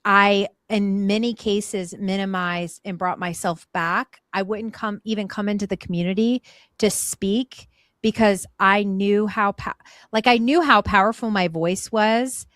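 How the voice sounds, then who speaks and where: A woman in a frank podcast-style chat, speaking earnestly and reflectively at a mid pitch. She restarts her thoughts and repeats words as she works through them, and her voice sits close and dry with no room around it. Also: fairly even intonation, measured pace, fairly bright tone, no room sound. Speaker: guest. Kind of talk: candid conversation.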